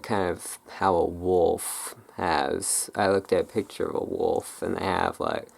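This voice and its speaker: Low, slow, creaky ASMR voice. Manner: relaxed, rambling, halting and musing. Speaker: ASMR video creator. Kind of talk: ASMR, unscripted rambling about folklore.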